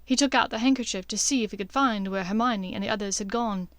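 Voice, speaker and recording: A woman's voice: mid-range voice, fast, a bit bright, no room sound. A woman reading scripted fiction as an audiobook narrator, in a dramatic storytelling style.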